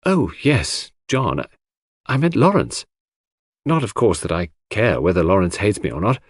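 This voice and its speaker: Man voicing a gentle, wistful young woman, husky. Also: lowish voice, very animated, normal speed, no room sound, clean studio sound. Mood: gloomy, plaintive. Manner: hesitant, plaintive.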